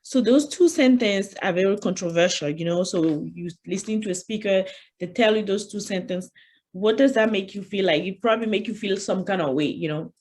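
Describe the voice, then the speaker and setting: A woman's voice: mid-range voice, fairly quick, darkish tone. A woman with a French accent presenting an interactive online leadership workshop, speaking conversationally and often saying "you know", standing at her computer on a video call.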